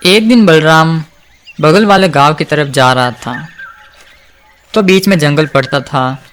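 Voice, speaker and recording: Higher-pitched man narrating a folk tale. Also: a little slow, a bit bright, a little hiss or hum. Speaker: storyteller, narrator. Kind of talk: scripted narration of a children's cartoon folk tale.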